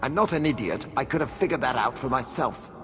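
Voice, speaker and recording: A man's voice: medium pitch, expressive, fairly quick, big-room sound, over music, natural voice. An actor playing a cowardly, scornful male noble in hiding, haughty and whining. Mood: suspicious.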